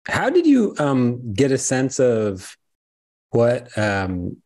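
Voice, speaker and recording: A man hosting an interview over a video call, asking questions in a relaxed way with some fillers. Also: fairly low pitch. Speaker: interviewer host.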